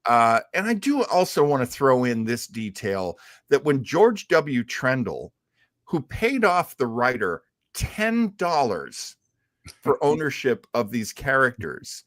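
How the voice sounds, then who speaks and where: A man hosting a show, explaining in casual talk with a halting, thinking-aloud delivery. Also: medium pitch, big pitch swings, a bit bright, dry recording, clean studio sound. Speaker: show host. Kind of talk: casual explanatory talk about old radio heroes.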